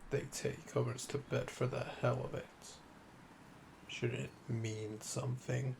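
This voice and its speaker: A man voicing a calm, smug, flirtatious assassin in a slow, intimate ASMR roleplay, deliberate and condescending, often dropping to a murmur. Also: medium pitch, creaky, noisy background. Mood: brooding, intimate.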